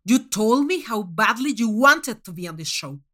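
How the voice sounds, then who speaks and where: Woman as a furious monstrous male judge, loud and scathing. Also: fairly high pitch, expressive, normal speed. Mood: angry, scathing. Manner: scathing, shouting, emphatic. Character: furious, contemptuous monstrous male alien cooking-show judge.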